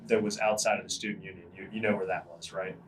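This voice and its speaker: A young man in casual podcast chat, a university student who talks haltingly, with many "um"s and "you know"s. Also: sits low, fairly even intonation, distant mic, a little hiss or hum. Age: young adult.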